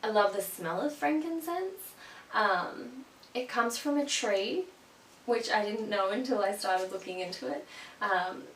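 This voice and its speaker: A woman's voice: medium pitch, expressive, a little slow, fairly bright tone. A young woman with a cold, a little sniffly, chatting casually to her viewers with lots of ums. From Australia. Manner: chatty, gushing, many ums. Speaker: vlogger. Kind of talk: casual product chat to camera. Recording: roomy, from a distance, faint hum.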